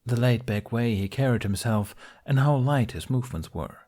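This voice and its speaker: A man reading scripted fiction aloud as an expressive podfic narrator. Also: medium pitch, moderate pace.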